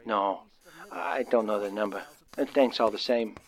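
An actor playing a nervous, excitable young working man of the 1930s, stammering and using folksy slang, in a radio drama. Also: fairly low pitch, normal speed, lightly acted. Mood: awkward, hesitant. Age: young adult. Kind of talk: old-time radio drama acting.

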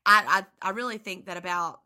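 A woman co-hosting a podcast, a teacher whose voice is slightly hoarse, talking in a friendly, chatty way with some restarts. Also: medium pitch, a little fast, sharp tone. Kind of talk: casual podcast chat.

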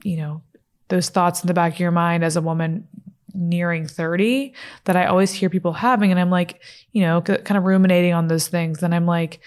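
A woman's voice: lowish voice, steady tone, a little fast, a little mellow. A woman in her late twenties hosting her own podcast, chatting candidly and casually with lots of fillers and the odd swear word. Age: 20s. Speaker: podcast host. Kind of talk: casual solo podcast chat. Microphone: studio mic, seated.

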